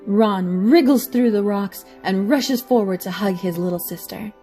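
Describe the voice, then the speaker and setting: A woman's voice: mid-range voice, a little slow, mellow, soft background music. A woman reading scripted story narration for an audio drama.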